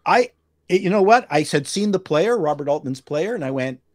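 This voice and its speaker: An older man from Canada, a guest telling stories with lively, acted-out quotes on a video call from a home room. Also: a little high, moderate pace, no echo, studio quality. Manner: animated storyteller who acts out quotes. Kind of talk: casual anecdote telling. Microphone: desk mic on a video call.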